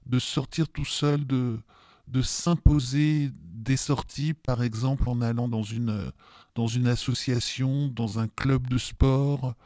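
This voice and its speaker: A man in his fifties gives a spontaneous personal testimony. His voice is soft-edged and mellow, recorded very dry. He hesitates and often repeats words while finding his phrasing. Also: mid-range voice, fairly even intonation, slow pace, no echo. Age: 50s. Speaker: testimony guest with lived experience of depression.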